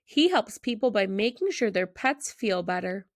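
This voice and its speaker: A woman voicing a patient, encouraging father who gently explains things in a children's storybook. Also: mid-range voice, big pitch swings, moderate pace, no echo, studio quality. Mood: explaining.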